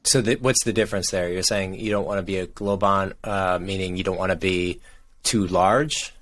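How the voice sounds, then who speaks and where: Man, podcast host, low voice, curious questions. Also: fairly even intonation, moderate pace, a bit bright. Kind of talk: podcast interview.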